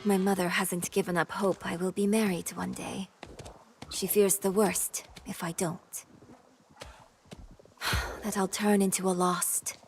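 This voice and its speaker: A woman playing a wistful young Korean noblewoman in a video game, speaking in a refined, measured, melancholy way. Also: mid-range voice, flat delivery, a little hiss or hum. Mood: resigned, melancholy. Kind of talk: game character dialogue.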